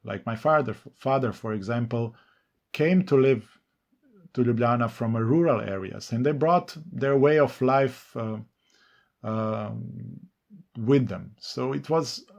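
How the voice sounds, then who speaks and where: Slow, gravelly Slovenian-accented man. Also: medium pitch, a little mellow. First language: Serbo-Croatian. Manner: halting, many restarts and fillers. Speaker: novelist guest. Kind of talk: thoughtful interview answers.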